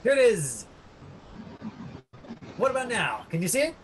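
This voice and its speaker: Husky-voiced man coaching an acting class. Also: sits high, huge pitch swings. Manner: halting, rambling explanations full of tangents. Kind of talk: informal online lesson, explaining off the cuff.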